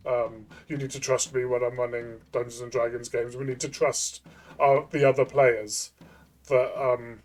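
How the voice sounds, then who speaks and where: A man with a mid-range voice talks casually as co-host of a review discussion. His delivery is thoughtful and a little halting, as he works through his opinions in conversation. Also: mid-range voice, level tone. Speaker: co-host of a tabletop game review show.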